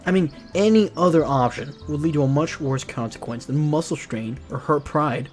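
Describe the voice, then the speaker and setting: A man's voice: a little high, moderate pace, darkish tone. A man streaming a visual novel, reading it aloud expressively with casual asides. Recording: music behind.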